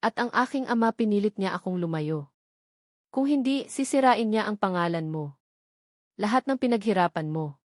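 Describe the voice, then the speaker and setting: A woman's voice: mid-range voice, animated, moderate pace, no echo, clean studio sound, lightly acted. A woman narrator voicing a remorseful man who earnestly confesses to his lost love in an audio romance story.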